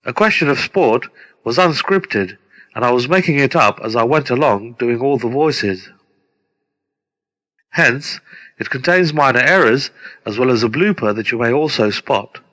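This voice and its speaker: A middle-aged man reading a scripted introduction as a presenter, deliberate and formal. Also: mid-range voice, animated, a bit bright. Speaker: presenter introducing his own radio play. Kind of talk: scripted narration. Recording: long hall-like reverb.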